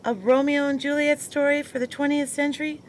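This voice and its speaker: A woman's voice: high-pitched, flat delivery, brisk, slight background noise, close to an everyday voice. A woman playing a prim 1920s wife. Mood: exasperated. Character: prim married 1920s woman, his former flame. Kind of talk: 1920s period audio drama.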